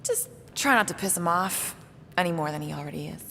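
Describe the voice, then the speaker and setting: A woman's voice: lowish voice, lively intonation, brisk, vocal fry, sharp tone, big-room sound, a little hiss or hum, exaggerated voice. Firm, dry female warrior. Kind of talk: game cutscene voice acting.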